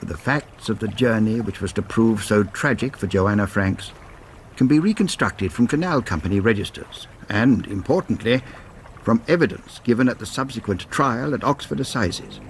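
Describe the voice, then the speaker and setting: An actor playing a formal historian, narrating a radio drama in a measured, literary manner. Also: male voice, lowish voice, lively intonation, a little hiss or hum. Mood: measured, serious. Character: formal male historian narrating a Victorian murder.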